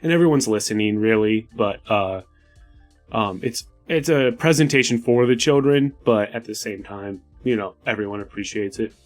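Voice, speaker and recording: A man's voice: fairly low pitch, normal speed. A man playing a tabletop game, explaining ideas for the story casually as he thinks them through. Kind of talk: casual collaborative worldbuilding talk. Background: music.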